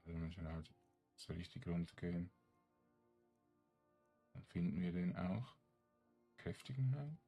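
A man with a very low voice talks slowly through a video game, thinking aloud. His casual commentary is halting and muttered, as if half to himself, with music playing behind him. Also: lively intonation, mellow, husky. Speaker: gaming streamer.

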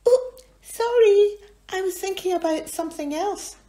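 Clumsy winged girl, high and fluttery, dithering, slow, a woman reading it out. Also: warm tone, roomy sound, low-quality recording. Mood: apologetic. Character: clumsy, scatterbrained winged female friend.

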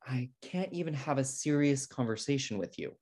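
A man's voice: mid-range voice, lively intonation, moderate pace, a little mellow, breathy edge. A man playing an uptight, exasperated twin brother, indignant and earnest, in a sitcom table read on a video call. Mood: fed up. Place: indoor room at home on video call.

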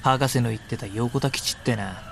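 An actor playing a cocky, brash young robot pilot with swaggering, rough speech in a radio drama. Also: male voice, medium pitch, normal speed, a little hiss or hum, lightly acted. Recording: reverberant, music behind.

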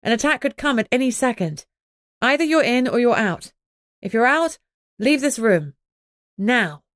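A woman voicing a brisk, resolute female alpha werewolf in an audiobook, firm and instructing. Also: on the high side, very animated, a bit bright, dry recording, studio quality, voice pushed up. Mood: commanding.

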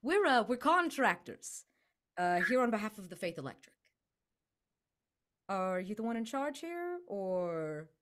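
A woman's voice: mid-range voice, sing-song, unhurried, pauses often, fairly bright tone, voice pushed up. A woman voicing a brazen man who bluffs as a contractor in a tabletop game, insistent and confident. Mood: bluffing, insistent. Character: brazen male bluffer posing as an electrical contractor.

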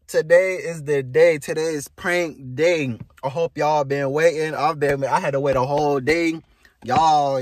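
A man's voice: a little high, no echo. A young American man chatting casually to camera in a playful, boastful, slangy way. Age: young adult. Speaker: vlogger. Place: inside a parked car, later a bedroom. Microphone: phone at arm's length.

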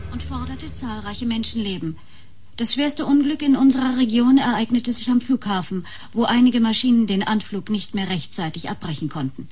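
A woman playing a sober newsreader in a sci-fi radio drama, formal, factual and husky. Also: on the high side, normal speed, soft background music. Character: sober female newsreader of an emergency bulletin. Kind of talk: scripted news reading in a radio drama.

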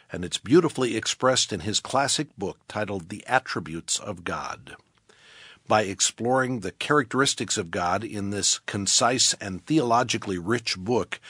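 Husky low-voiced radio announcer, dry studio. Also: male voice, a bit bright, dry recording. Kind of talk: scripted announcement.

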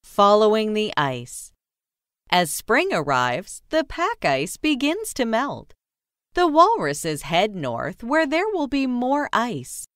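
A woman narrating a children's nonfiction book as a scripted read-aloud, with careful, clearly separated phrasing. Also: fairly high pitch, wildly swooping pitch, takes it slowly, fairly bright tone, no room sound, studio quality.

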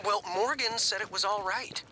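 A man's voice: high voice, moderate pace, pushed and bright, a little hiss or hum, rough recording. A man playing an easygoing station crew member who makes excuses in a video game. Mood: defensive, casual.

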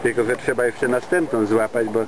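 A man's voice: mid-range voice, steady tone, a little fast. An older man from Poland casually narrating his sailing trip outdoors on a catamaran, filmed on a handheld camera. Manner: chatty, commenting on what he films. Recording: loud boat and water noise.

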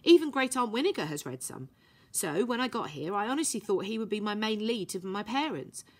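An earnest young runaway girl as a woman reader puts her on speaks in a high, excitable voice that leaps in pitch. She sounds breathless and emphatic. The tone has a slight huskiness under the brightness. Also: fairly quick, breathy edge. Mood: eager.

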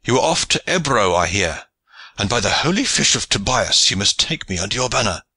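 A man's voice: fairly low pitch, big pitch swings, a little fast, pushed and bright, husky, dry recording, exaggerated voice, low-quality recording. A man voicing a sturdy, jovial old knight with a boisterous, hearty way of talking.

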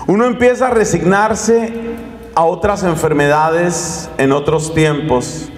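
Middle-aged man, Colombian accent, high voice, animated sermon, handheld mic, hall reverb. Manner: animated, gesturing, addressing listeners directly. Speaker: preacher. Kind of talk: lively sermon talk to an audience. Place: conference hall with curtained stage. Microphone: handheld microphone.

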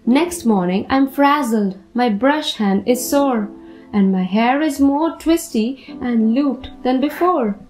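A woman reads a children's storybook aloud in a fairly high voice, taking her time over each phrase. Her delivery is deliberate and expressive, with music behind her. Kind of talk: expressive picture book read-aloud for children.

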